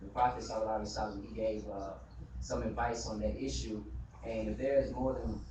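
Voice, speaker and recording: A man's voice: on the low side, flat delivery, fast. A man talks earnestly to a mosque gathering, dropping "you know" between thoughts. He sounds far from the mic in a reverberant hall. A live-stream hiss runs under his voice. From United States. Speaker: community member speaking at a mosque gathering. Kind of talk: impassioned open discussion.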